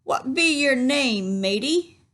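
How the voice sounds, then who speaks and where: A woman's voice: sits high, animated, takes it slowly, fairly bright tone, voice pushed up, full character voice. A woman voicing a hearty, bossy male pirate captain from a storybook, hollering in old seafaring talk. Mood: hearty, commanding.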